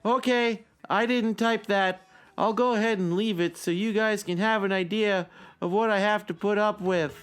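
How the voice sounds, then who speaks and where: A man's voice: high-pitched, fairly even intonation, moderate pace, fairly bright tone, smooth, faint music behind. A man playing a meek, self-pitying young cartoon blogger who reads his diary in a mournful, plaintive way. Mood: pestered, whiny. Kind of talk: dramatic reading of a cartoon character's blog.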